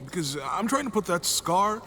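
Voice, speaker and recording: A man's voice: sits high, very animated, moderate pace, bright, forceful tone, a little hiss or hum, heavily acted. A man playing a caring, protective friend in a video game, with casual natural delivery. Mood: teasing. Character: warm, protective male friend of the adventurer.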